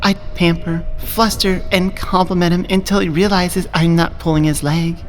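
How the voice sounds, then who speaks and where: A woman playing a confident, dominant elf coworker who flirts adoringly, soft-spoken in a close-miked ASMR romance roleplay. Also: on the low side, steady tone, moderate pace, lightly acted. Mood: smitten, gushing. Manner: teasing, doting, self-assured. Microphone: close binaural studio microphone.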